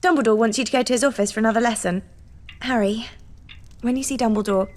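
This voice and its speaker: Woman playing a high-voiced, sensible British schoolgirl. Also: fast, close to an everyday voice. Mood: sceptical, advising. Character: sensible, cautioning teenage schoolgirl witch. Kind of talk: video game character acting.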